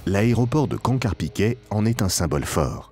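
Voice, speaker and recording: A man reading a scripted promotional documentary narration in a formal, measured way, with emphatic phrasing. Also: fairly low pitch, expressive, darkish tone. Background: background music.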